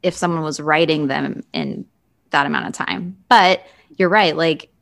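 A woman's voice: low-pitched, measured pace, creaky, a bit bright. A woman co-hosting a podcast, giving her opinions in a casual, conversational discussion style. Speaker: podcast co-host.

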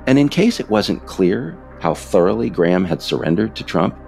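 A man reading scripted political narration as a podcast author, deliberate, with pointed pauses on key phrases. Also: deep voice, very animated, creaky, a little mellow, faint music behind.

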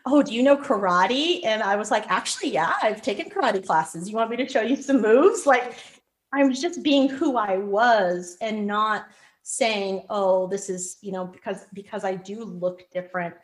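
A woman guest in an interview, telling lively personal stories with frequent restarts. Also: mid-range voice, normal speed. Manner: animated storyteller, frequent restarts. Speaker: podcast guest. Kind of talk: conversational interview.

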